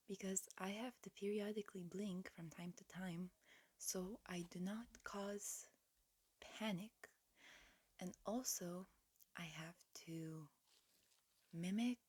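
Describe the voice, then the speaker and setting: A woman voicing a polite alien examiner in human guise, whispering softly and hesitantly in an ASMR roleplay. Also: lowish voice, slightly rough. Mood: softly confiding. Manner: soft, hesitant, politely procedural.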